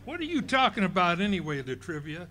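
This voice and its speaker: A man's voice: high voice, big pitch swings, fast talker, sharp tone, a little hiss or hum, exaggerated voice. A man playing a brash, wisecracking middle-aged husband in a live radio play. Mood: blustering.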